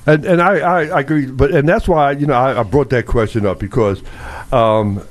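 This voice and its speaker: A male radio host leading a call-in panel. He talks bluntly and likes to provoke, often stumbling and restarting his sentences. His pitch sits in an ordinary middle range. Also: normal speed.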